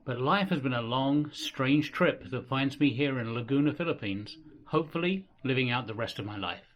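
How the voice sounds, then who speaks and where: A man in his sixties from England with an English accent, narrating his family history from his home in a gentle, unhurried way. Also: medium pitch, lively intonation, muffled recording. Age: 60s. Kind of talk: reflective personal narration. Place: home in the Philippines.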